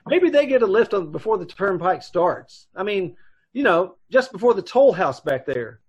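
Man acting a husky, chatty gas station attendant. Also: high-pitched, very animated, moderate pace, dull audio, exaggerated voice. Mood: puzzled, folksy. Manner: folksy, hesitant, rambling. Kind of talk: old-time radio drama acting.

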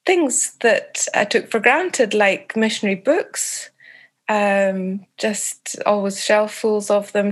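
A Scottish woman answering interview questions thoughtfully, pausing often with fillers and restarting phrases. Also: medium pitch. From Scotland. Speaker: author guest.

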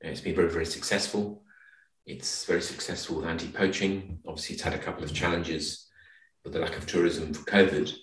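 A man in middle age speaks in a very deep register, sounding roomy and distant from the microphone. He is from Zimbabwe and explains his points conversationally, pausing to find words as an interview guest. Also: steady tone. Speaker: podcast guest.

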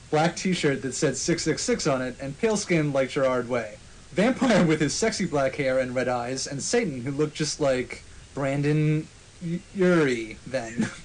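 A man's voice: medium pitch, a little hiss or hum, low-quality recording. A man narrating a comic dramatic reading of fan fiction as a podcast host, in a mock-serious manner.

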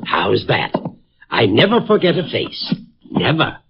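A pompous old dean. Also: male voice, mid-range voice, huge pitch swings, a little slow, slightly rough, faint music behind, heavily acted. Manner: pompous, lecturing, talks over others. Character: pompous, absent-minded old college dean. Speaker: college dean. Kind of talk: 1950s radio drama acting. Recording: dull lo-fi 1950s radio broadcast.

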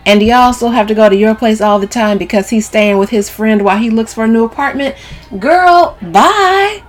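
A brash, sassy woman from an audiobook novel, acted by a woman narrator, pitched high and swooping. She is scornful and dramatic, with a sharp tongue and salty, exclamatory delivery. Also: moderate pace, soft background music, natural voice. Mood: sarcastic.

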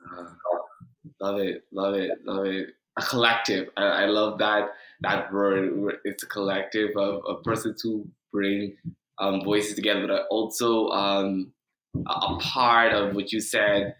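A man's voice: lowish voice, flat delivery, unhurried, a bit bright, reverberant, rough recording. A man from the Bahamas hosting a podcast interview, asking questions and reacting with frequent restarts. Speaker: podcast host. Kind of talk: casual interview chat.

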